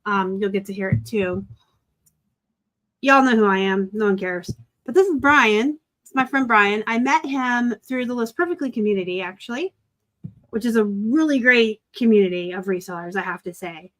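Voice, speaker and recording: A woman from Arizona chatting casually as host of a live stream, talkative and quick to laugh. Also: on the high side, fairly bright tone. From Arizona, raised by a Southern family. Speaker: host of a reseller live chat.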